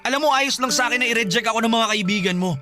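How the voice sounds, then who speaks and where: A man's voice: very high voice, very fast, bright, forceful tone. A man playing a protective, stubborn young man in love in an emotional radio drama, earnest and pleading, with a Filipino accent. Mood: tender confession. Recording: music bed behind.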